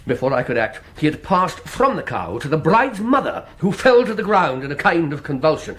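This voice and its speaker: An actor playing a zealous, self-important exorcist priest in a radio drama, speaking in a pompous, theatrical way. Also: male voice, on the high side, sing-song, normal speed, a bit bright. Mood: dramatic.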